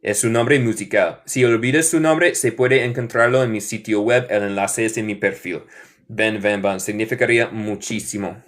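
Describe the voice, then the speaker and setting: An American man from Michigan, an English teacher, chatting casually on a livestream in halting Spanish with many fillers and switches into English. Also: fairly low pitch, fairly bright tone. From Michigan, USA.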